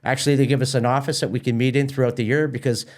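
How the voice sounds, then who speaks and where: A man's voice: medium pitch, little pitch movement, very fast. A man with a mid-range voice talks as a guest in a casual interview. He sounds like an organiser promoting an event informally. He often repeats words and doubles back mid-sentence.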